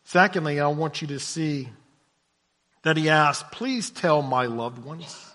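A man preaching a sermon, dropping to hushed low lines and rising to loud shouted emphasis, often repeating words as he goes. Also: lively intonation, slow pace, in a large hall. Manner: repeats words when stumbling.

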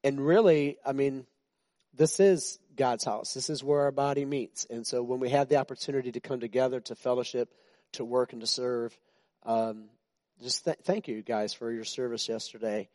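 A man's voice: medium pitch, fairly even intonation, normal speed, darkish tone, dry recording. A man in his sixties, a pastor giving an informal, chatty sermon to his church, joking with the congregation and often restarting his sentences. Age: 60s.

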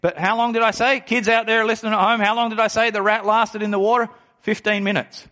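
A man in his forties preaching a sermon, reading scripture aloud and explaining it, slowing down to stress key phrases. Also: high voice, flat delivery, pushed and bright. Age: 40s. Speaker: pastor.

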